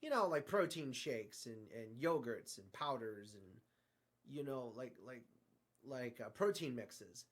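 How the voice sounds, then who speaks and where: A man telling a story in a casual, improvised way, haltingly and often searching for his words. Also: medium pitch, animated.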